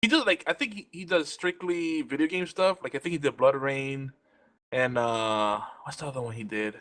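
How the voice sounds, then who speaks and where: A man chatting casually as a panelist, halting as he searches for his words. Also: mid-range voice, fairly bright tone. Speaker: panelist guessing in a trivia game. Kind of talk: casual chat.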